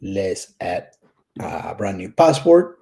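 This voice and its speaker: A low-voiced man with a thick Puerto Rican accent talks through a software build. His tone is mellow and a little husky. He thinks out loud with plenty of ums and restarts, casual and candid. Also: big pitch swings, slow. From Puerto Rico. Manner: casual and candid, thinking aloud with many fillers. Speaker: tech YouTuber. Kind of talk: screen-recorded coding walkthrough.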